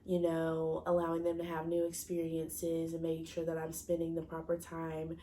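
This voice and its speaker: Stuffy-nosed young woman, low and flat. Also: normal speed, a little hiss or hum. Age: 20s. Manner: rambling, self-correcting, searching for words. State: stuffy from a cold. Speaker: vlogger. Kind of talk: casual personal talk to camera.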